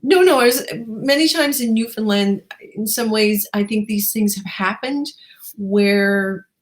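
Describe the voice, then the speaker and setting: A woman's voice: mid-range voice, unhurried. A woman hosting a casual, conversational interview, engaged and affirming as she asks and responds. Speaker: interviewer, host.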